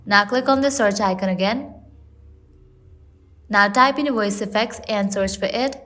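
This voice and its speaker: A woman's voice: medium pitch, measured pace, fairly bright tone. A woman reading scripted step-by-step tutorial narration in short, instructional phrases.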